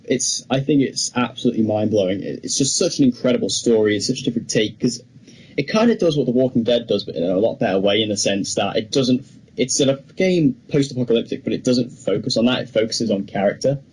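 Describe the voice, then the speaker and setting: A man's voice: medium pitch, quick pace, darkish tone, a little hiss or hum, rough recording. A young man co-hosting a podcast, chatting casually and enthusiastically with plenty of opinions. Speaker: podcast co-host. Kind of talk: casual film chat.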